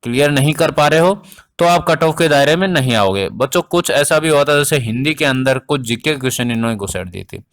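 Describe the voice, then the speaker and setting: A man's voice: medium pitch, animated, quick pace, a bit bright. A man explaining exam updates informally as a coaching teacher, addressing students directly and repeating points for emphasis.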